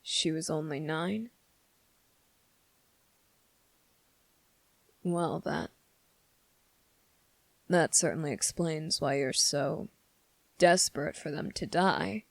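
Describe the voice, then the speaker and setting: A sardonic demon, played by an ASMR performer, speaks in a slow, gravelly drawl. The delivery is deliberate and condescending, with menacing pauses. The sound is dry and intimate, as in an audio roleplay. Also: medium pitch, no echo. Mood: grave, subdued. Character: sardonic, menacing demon weighing a summoner's revenge plea. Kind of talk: dramatic ASMR roleplay monologue.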